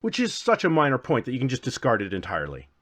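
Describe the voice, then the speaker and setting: A man's voice: medium pitch, huge pitch swings, fast talker, a bit bright. A man narrating a scripted comedic review as host, with a theatrical, wry, self-deprecating delivery.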